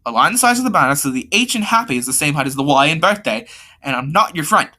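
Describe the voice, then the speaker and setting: An irritable, bossy boy from a school story voiced by its reader. He talks fast and forcefully, a fussy perfectionist with no patience. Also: medium pitch, lively intonation. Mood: irritated.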